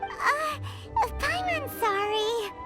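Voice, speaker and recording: A woman voicing a tiny, cheeky, childlike flying companion in a video game, with a squeaky voice. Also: animated, extremely slow, full character voice. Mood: cheeky, sheepish. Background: loud background music.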